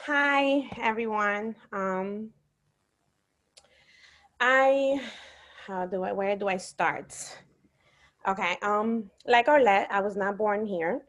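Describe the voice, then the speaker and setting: A woman from the Dominican Republic talks slowly over a video call. She tells her story as a panel graduate in a casual, hesitant way, often stopping to find her words. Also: mid-range voice, a bit bright, muffled recording. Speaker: graduate speaker on a college panel.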